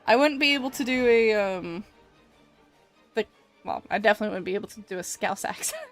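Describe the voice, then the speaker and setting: A woman's voice: mid-range voice, lively intonation, fairly quick. A woman from Scotland with a mixed, Canadian-leaning North American accent, chatting casually and in a giggly way as a livestreamer. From Edinburgh, Scotland. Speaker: streamer. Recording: music behind the voice. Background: background music.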